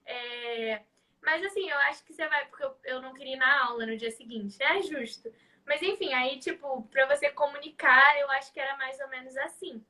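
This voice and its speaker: A woman's voice: high voice, normal speed, sharp tone, distant mic. A young woman with a Carioca accent chatting casually on a live stream, full of fillers. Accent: Carioca Portuguese. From Rio de Janeiro, Brazil. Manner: chatty, many fillers like "tipo". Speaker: live guest.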